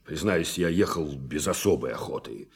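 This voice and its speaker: An actor playing a reluctant, skeptical physicist with a husky voice in a radio play. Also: male voice, extremely low pitch, lively intonation, moderate pace, heavily acted. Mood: wry, grumbling.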